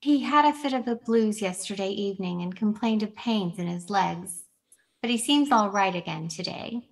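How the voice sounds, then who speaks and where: A languid young wife from a stage drama, read by a woman. She is offhand, with an average pitch and plenty of vocal fry, a little distant on a video call. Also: normal speed. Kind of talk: amateur play reading. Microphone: video call microphone.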